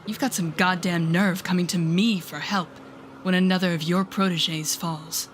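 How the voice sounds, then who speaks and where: A woman playing a telepathic supervillain in an audio roleplay, a bitter but protective mentor with a sardonic, deliberate manner. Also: lowish voice, fairly even intonation, slight background noise, natural voice. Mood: bitter, accusing. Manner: sardonic, deliberate, shifting to soothing whispers. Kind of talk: dramatic audio roleplay acting.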